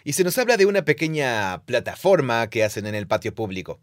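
Male co-host, mid-pitched, animated explainer, dry close mic. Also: brisk, no room sound. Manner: animated explainer, laughs easily. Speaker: podcast co-host, Bible teacher. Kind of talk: casual teaching conversation.

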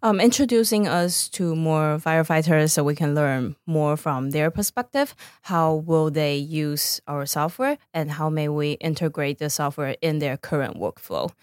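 Woman, Taiwanese accent, low voice, startup founder as podcast guest, very dry recording. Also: lively intonation, moderate pace, few pauses, a little mellow, no echo. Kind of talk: conversational interview answers.

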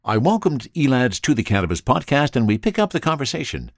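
A man's voice: mid-range voice, sing-song, a little fast, no echo, clean studio sound. A man hosting a podcast, chatty and friendly as he thanks listeners and introduces his guest. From Canada. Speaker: podcast host. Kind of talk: podcast interview and thank-yous.

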